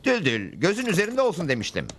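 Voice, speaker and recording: Bir adam, çizgi film dublajında sakin, kibar, yalnız bir kovboy kahramanı seslendiriyor. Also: male voice, high-pitched, wildly swooping pitch, fast, a bit bright, soft background music, full character voice. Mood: determined. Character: composed, polite lone cowboy hero. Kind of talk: cartoon dub acting.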